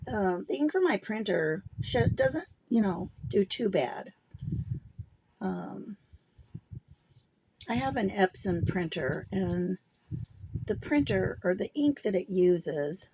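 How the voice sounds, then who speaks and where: Gravelly-voiced middle-aged woman. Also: mid-range voice, a little mellow, a little hiss or hum, low-quality recording. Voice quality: gravelly, gruff voice. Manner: chatty and rambling, thinking aloud while working. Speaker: craft livestream host. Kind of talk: casual craft demo chat.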